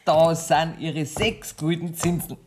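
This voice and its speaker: A woman's voice: low voice, flat delivery, slow, darkish tone, a little hiss or hum. An actress playing a shrewd female moneylender who feigns innocence in a radio play, speaking offhand, coy Bavarian dialect. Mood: gracious, persuasive.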